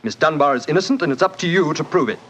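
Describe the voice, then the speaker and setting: A man playing an arrogant, hot-tempered mining magnate in a 1940s radio drama, speaking bluntly and forcefully. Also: fairly high pitch, lively intonation, rapid-fire, lo-fi sound. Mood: blunt, demanding. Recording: 1940s radio broadcast recording.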